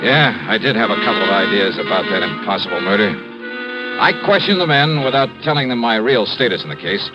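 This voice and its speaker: A low-pitched American private investigator, played by a man in a 1950s radio serial. He is laconic and probing, with a dry way of questioning. The old broadcast sounds muffled, with music underneath. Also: lively intonation, a little fast, close to an everyday voice. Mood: dry narration. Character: shrewd, laconic male insurance investigator. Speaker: lead actor in a detective radio serial. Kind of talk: 1950s radio drama acting.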